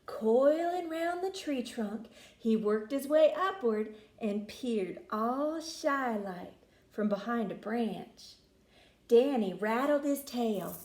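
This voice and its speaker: A woman tells a children's story in a high, swooping voice. She takes her time and uses expressive, folksy inflection, in a roomy, echoing space. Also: slow pace. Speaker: storyteller reading a picture book aloud. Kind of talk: animated children's story reading.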